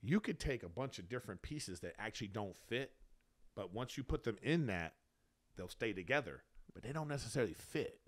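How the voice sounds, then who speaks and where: A man's voice: on the low side, expressive, a little fast. A man of about fifty co-hosting a podcast, giving advice in casual, rambling, emphatic explanations. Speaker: podcast co-host.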